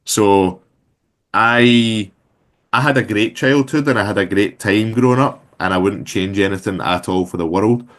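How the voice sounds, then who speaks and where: Deep-voiced Glaswegian man in his thirties. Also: a little slow. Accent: Glaswegian Scottish. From Scotland. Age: 30s. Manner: relaxed, rambling with many fillers. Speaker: guest entrepreneur. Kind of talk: casual interview chat.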